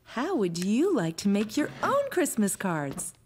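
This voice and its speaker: A woman voicing a patient, gentle mother in a preschool cartoon, speaking encouragingly as to small children. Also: mid-range voice, huge pitch swings, a little slow, noisy background. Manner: gentle, encouraging, sing-song toward children.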